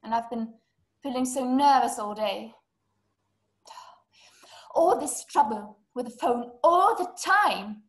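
A high-pitched young woman playing an anxious, housebound wife in a drama. She is fretful and indignant, fragile yet demanding, and complains in formal phrases. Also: expressive, takes it slowly, long pauses. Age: young adult. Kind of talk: stage-style dramatic acting. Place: small room at home. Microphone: laptop on video call.

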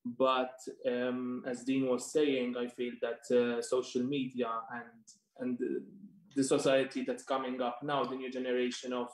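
Young man, flat voice, echoey webcam. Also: medium pitch. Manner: halting, repeats words while searching. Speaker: panelist. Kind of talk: panel discussion on a video call. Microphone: webcam on a video call.

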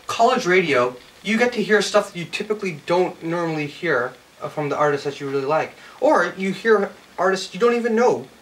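Higher-pitched man hosting a casual interview. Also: fairly bright tone. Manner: informal, bantering, self-deprecating. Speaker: show host, interviewer. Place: indoor lounge with amps and instruments. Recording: distant mic, faint background noise.

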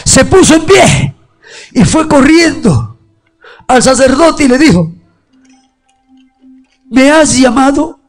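Impassioned high-voiced male preacher, shouting. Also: sing-song, soft background music. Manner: impassioned, often shouting, drawn-out phrases. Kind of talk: fervent altar call sermon.

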